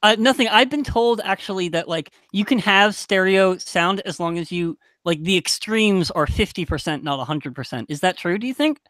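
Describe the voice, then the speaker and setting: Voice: mid-range voice, moderate pace, hardly any pauses, a bit bright, no room sound. A young adult podcast panelist speaking casually, frankly and emphatically in a group discussion.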